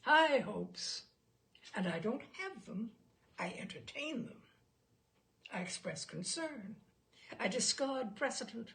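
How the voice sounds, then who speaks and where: A woman's voice: fairly high pitch, animated, slow, vocal fry, pauses often, a bit bright, heavily acted. Woman playing a pompous, precise male expert, swooping delivery. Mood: boastful, emphatic. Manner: precise, self-satisfied, declamatory. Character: pompous, fussy male cliché expert witness, proudly precise. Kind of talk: humorous short story read aloud.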